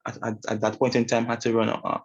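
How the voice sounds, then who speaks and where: A young man from Trinidad with a Trinidadian accent, talking through an interview he did. His voice is a little husky, he hesitates and restarts often, and the recording sounds muffled and lo-fi. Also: medium pitch, completely flat delivery, fast. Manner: hesitant, many fillers and restarts. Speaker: student presenter. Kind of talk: informal talk about an interview.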